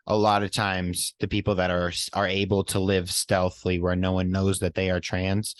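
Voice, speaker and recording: A man co-hosting a podcast in casual chat, supportive and quick to affirm with short interjections. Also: low-pitched, no echo. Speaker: podcast co-host.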